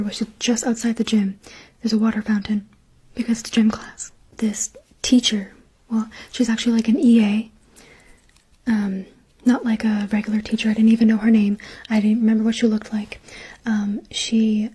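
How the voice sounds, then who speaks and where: A woman whispers her way through a long personal story, her breathy voice sitting a little high. She talks on a narrow, flat line, with a mellow tone. Her delivery stays casual and chatty, full of "like" and "um.". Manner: chatty, lots of "like" and "um".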